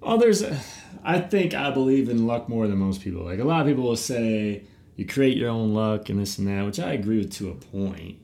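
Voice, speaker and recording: Man, middle-aged, podcast host, casual, animated, laughs easily, restarts sentences. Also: on the low side, a little fast, vocal fry. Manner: animated, laughs easily, repeats words when starting. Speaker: podcast host and youth baseball coach.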